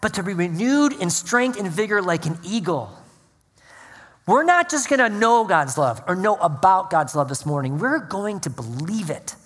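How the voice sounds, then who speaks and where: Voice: mid-range voice, big pitch swings, normal speed, husky, in a large hall. A pastor preaching a sermon in a church, praying and reading scripture earnestly. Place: church sanctuary.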